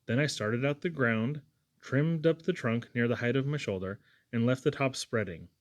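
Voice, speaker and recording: A man's voice: medium pitch, moderate pace, natural voice. A man plays an earnest young Irish lad from a storybook. He talks in a folksy, wordy way, full of simple wonder at what he has seen.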